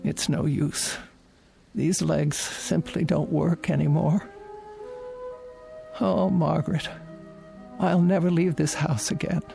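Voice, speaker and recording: A woman's voice: low-pitched, steady tone, slow pace, creaky, pauses often, heavily acted. A frail, lonely old woman. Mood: forlorn. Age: older. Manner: quavering, hesitant, soft-spoken. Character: frail elderly housebound woman, lonely and fretful. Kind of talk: radio drama acting. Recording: radio drama with music underneath.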